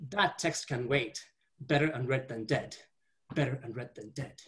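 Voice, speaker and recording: A man from India doing a stand-up set on a video call, talking haltingly with repeated words and dry punchlines. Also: mid-range voice, a bit bright. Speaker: stand-up comedian.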